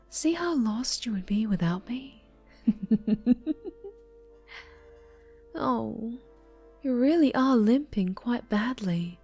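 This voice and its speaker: Woman as a slow, soft, sweetly sinister superheroine captor. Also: a little high, slightly rough. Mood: cooing concern. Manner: soft, cooing, condescending tenderness, drifting into whispers. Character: obsessive winged superheroine captor, sweetly sinister, doting and possessive. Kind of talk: ASMR roleplay, slow intimate murmuring and whispering.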